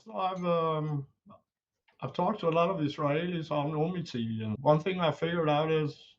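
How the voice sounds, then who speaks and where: A man in his forties, born in Iran and not an English speaker, calling in to a live discussion over a new webcam, talking haltingly with restarts. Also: fairly high pitch. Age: 40s. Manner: hesitant, restarting, self-deprecating. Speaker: caller. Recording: lo-fi webcam audio.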